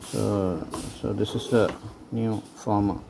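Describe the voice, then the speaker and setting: A man giving an unscripted how-to narration while working at a table indoors, speaking haltingly in trailing phrases. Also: fairly low pitch, steady tone, vocal fry. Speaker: hobbyist presenter. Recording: noticeable background noise.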